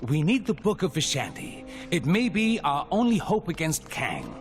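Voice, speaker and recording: An earnest, fussy sorcerer. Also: male voice, fairly high pitch, huge pitch swings, moderate pace. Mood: flustered. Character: earnest, slightly flustered male sorcerer master. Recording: faint music behind.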